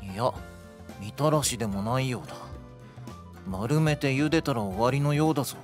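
男性が演じる 古風で落ち着いた低めの武人. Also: male voice, mid-range voice, soft background music, lightly acted. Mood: calm, puzzled. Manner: measured, stiff old-fashioned phrasing. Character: calm, earnest male warrior with archaic speech. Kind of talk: game radio drama acting.

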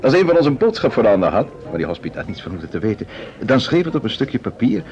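A man's voice: mid-range voice, sing-song, fast, darkish tone, natural voice. A man playing a composed, genial amateur detective in a vintage Dutch radio drama. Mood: calm. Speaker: detective-novelist lead. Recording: muffled old radio recording, music underneath.

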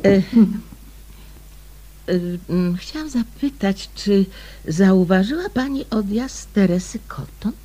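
A woman's voice: mid-range voice, animated, takes it slowly, mellow. An actress playing a polite, inquisitive woman with a questioning manner in a radio play. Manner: polite, questioning, hesitant openings. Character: polite, inquisitive woman quietly probing for facts.

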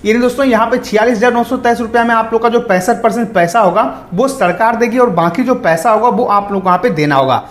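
A man talks viewers through a screen tutorial at a quick clip. He explains step by step and often restates things. The voice-over echoes as if recorded in a bare room. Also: extremely high pitch. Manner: repetitive, explanatory, reads out then paraphrases. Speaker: online tutorial presenter. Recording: roomy, echoing voice-over.

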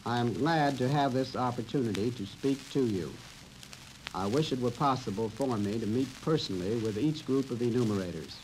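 A middle-aged American man giving a formal, measured scripted address as a government official in a 1950 film. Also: mid-range voice. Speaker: census director giving an address. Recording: old filmstrip soundtrack with faint crackle.

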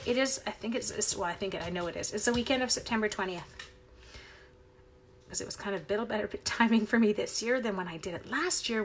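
A woman's voice: mid-range voice, a bit bright, slight background noise. A middle-aged woman from Canada, chatty and upbeat, leading a live card-making tutorial at her craft desk while she talks with viewers.

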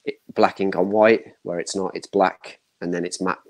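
A man's voice: low voice, little pitch movement, normal speed. A man in his thirties chatting casually as a panel guest, giving opinions in short broken bursts. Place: home room, indoors, video call.

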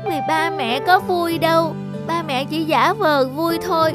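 Here is a lonely little cartoon girl, acted by a woman, with a high, childlike voice. She speaks plaintively and slowly, as a withdrawn daughter would. Light music plays behind her. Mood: sad, accusing. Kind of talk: cartoon character voice.

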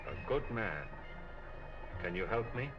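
An actor playing a courteous, shrewd gentleman detective in a measured, articulate 1940s film style. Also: on the low side, big pitch swings, music in the background. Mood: polite, probing. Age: 50s. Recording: muffled, hissy 1940 film soundtrack.

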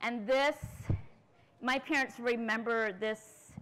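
A woman's voice: fairly high pitch, fairly even intonation, slow pace, fairly bright tone, slight background noise. A woman in her forties from the United States, speaking earnestly to a conference audience in a large tent and asking them questions as she tells her story. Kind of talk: lecture.

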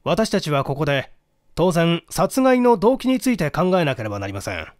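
A man's voice: a little high, big pitch swings, moderate pace, no echo, clean studio sound. A man voicing a methodical police detective who explains deductions formally in a dramatic audiobook reading. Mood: explanatory, measured.

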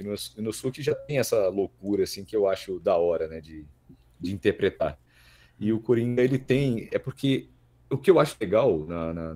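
A man's voice: lowish voice, dark tone. A Brazilian man in his thirties chatting casually as a podcast guest, repeating words as he thinks aloud. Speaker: voice actor guest. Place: small plain-walled room indoors.

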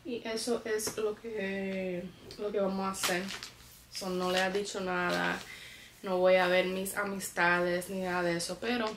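A woman's voice: medium pitch, flat delivery, measured pace. Bronx-born woman, chatty family vlog at home, mixes in english, faint hiss. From New York, the Bronx. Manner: chatty, mixes English words into her Spanish. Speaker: family vlogger. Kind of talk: casual vlog chat to camera. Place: at home, packing a suitcase.

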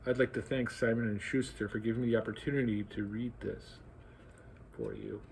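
A man in his sixties introducing and reading a novel aloud, halting with fillers when speaking freely, in a library. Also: medium pitch, very animated, creaky, a little mellow, a little hiss or hum, rough recording. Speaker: guest reader. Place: library, in front of bookshelves.

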